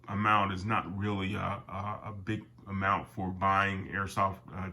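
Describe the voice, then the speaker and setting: Older male collector-host, low-ish nasal voice with a cold, loose rambling delivery. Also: bright, forceful tone. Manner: rambling, with many fillers and restarts, calls viewers "guys". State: has a summer cold. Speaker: airgun collector and channel host. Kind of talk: casual product talk to camera.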